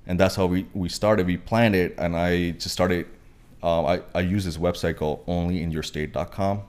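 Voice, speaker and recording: A young man in his twenties from Pakistan, with a deep, low-set voice. He chats casually as a guest, wandering through his points with lots of fillers and restarts. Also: steady tone, normal speed, darkish tone. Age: 20s. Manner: rambling, many fillers and restarts. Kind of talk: casual podcast chat.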